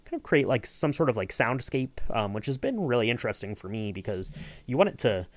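A man's voice: mid-range voice, sing-song, a little fast, no echo. A man hosting a casual behind-the-scenes podcast, talking in a loose, hesitant, rambling way. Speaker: podcast host.

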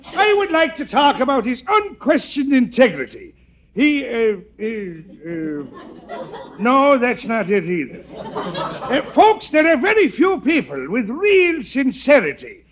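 A blustering, tongue-tied friend in a forties radio comedy. His very high voice rises and falls as he halts through a formal toast. The sound is muffled. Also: wildly swooping pitch, exaggerated voice. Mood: formal, flustered. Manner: halting, stammering formality. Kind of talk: 1940s radio comedy acting. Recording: dull old radio recording.

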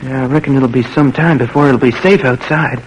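A young man playing a polite, easygoing army private in an old radio drama, with a folksy manner and husky voice. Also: medium pitch, fairly even intonation, a little fast, slight background noise, natural voice, poor audio. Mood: weary, wistful. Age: young adult.

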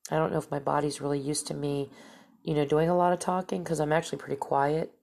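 A woman's voice: deep voice, little pitch movement, fast, vocal fry, darkish tone. A woman hosting a talk show, whose stuffy, nasal voice rambles casually through stories and asides. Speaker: podcast host. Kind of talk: casual storytelling monologue.

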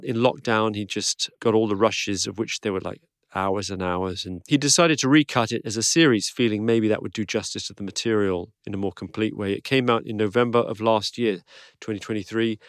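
Male podcast host, croaky from illness, dry wit, studio-dry sound. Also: mid-range voice, dry recording. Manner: dry self-deprecating humor. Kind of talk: podcast intro and interview.

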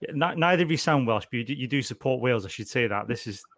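A man's voice: medium pitch, expressive, fast talker, a bit bright, no room sound. A middle-aged man hosting a casual podcast, jokey and chatty, often restarting his sentences. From Scotland. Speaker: podcast host.

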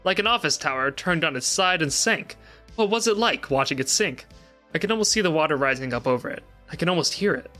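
A man's voice: fairly high pitch, lively intonation, fast talker, sharp tone, soft background music. A man playing an obsessed, distressed interview subject in a dramatic reading, agitated and repetitive, with swearing. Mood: haunted fixation.

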